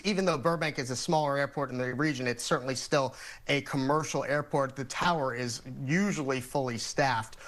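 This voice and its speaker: An American man speaking as an expert guest in a TV news interview, explaining things in a measured, methodical way. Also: medium pitch, fairly bright tone.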